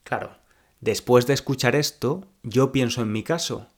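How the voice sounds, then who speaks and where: A young man from Spain with a Spanish accent, hosting a podcast lesson for learners and speaking slowly and clearly like a teacher. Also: mid-range voice. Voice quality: slow, clear teacher speech.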